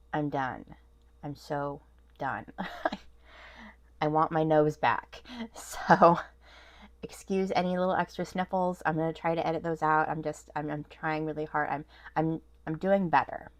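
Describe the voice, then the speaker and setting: Woman, low voice, nasal from a cold, casual desk chat, lots of ums. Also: level tone, measured pace, long pauses, dry recording. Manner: chatty and rambling, many ums and restarts. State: stuffy nose from a cold. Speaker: vlog host. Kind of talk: casual haul vlog chat. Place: at a home desk.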